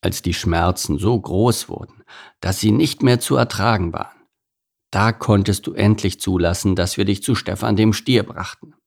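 A man voicing a calm, sensible male plough horse with a grumbly, patient manner in a storybook. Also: moderate pace, gravelly. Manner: low grumbling, sighing, patient. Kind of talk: storybook character voice.

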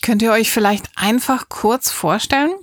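A woman hosting a talk show, introducing guests and asking questions in a clear, scripted way. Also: a little high, normal speed, no room sound, clean studio sound. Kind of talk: moderated interview.

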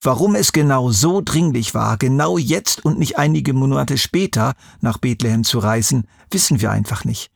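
A man with a gravelly, husky voice at a medium pitch answers as an expert for children. He explains conversationally and in measured, orderly steps. The recording is close and dry. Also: lively intonation, no room sound.

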